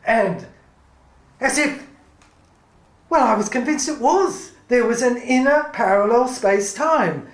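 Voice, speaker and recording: A woman delivering a dramatic spoken-word monologue in halting, fragmented phrases that often repeat. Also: fairly high pitch, expressive. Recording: echoey room.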